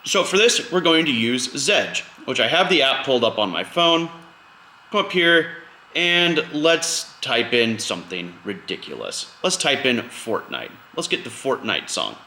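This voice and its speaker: A man's voice: medium pitch, big pitch swings, a little slow, sharp tone, reverberant, a little hiss or hum. A man hosting a casual step-by-step tutorial in an informal, conversational way. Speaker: video host giving a drone tutorial.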